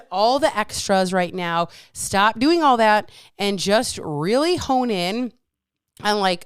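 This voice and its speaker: A woman's voice: medium pitch, clear, resonant tone, no echo, studio quality. A woman hosting her own podcast as a fitness coach, ranting bluntly with lots of swearing, a little congested with a lingering cough. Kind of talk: casual solo podcast rant.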